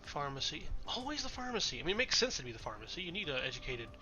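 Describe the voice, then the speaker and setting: A man's voice: fairly high pitch, sing-song, rapid-fire, sharp tone, soft background music, poor audio. A man with a cold, slightly nasal and congested, chatting casually and correcting himself as he streams a city-building game. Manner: rambling, chatty, self-correcting. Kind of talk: casual gameplay commentary.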